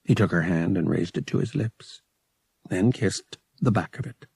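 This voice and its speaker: Husky, low-voiced male audiobook narrator. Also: moderate pace, mellow, no echo. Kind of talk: dramatic scripted fiction narration.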